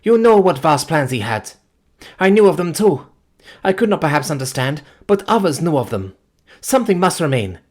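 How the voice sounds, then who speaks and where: A man's voice: medium pitch, lively intonation, quick pace, hardly any pauses, slightly rough, voice pushed up. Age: young adult. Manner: pleading, breathless, trembling. Figure: a devoted woman in mourning, as a male narrator puts her on, in an audiobook.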